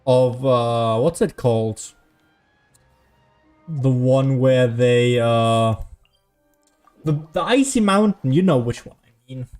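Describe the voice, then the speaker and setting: A man gives casual livestream commentary on a game. He speaks slowly in a soft, dark tone, musing to himself and his viewers. Faint music sits in the background. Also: mid-range voice. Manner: musing aloud, hums to self. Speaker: game streamer.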